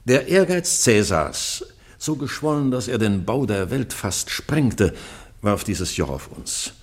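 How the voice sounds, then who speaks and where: A low-voiced, stately king in a classical radio play. He declaims with proud defiance and courtesy, his husky voice rising and falling widely. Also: lively intonation, slow, heavily acted. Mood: proud, defiant. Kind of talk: classical radio drama acting.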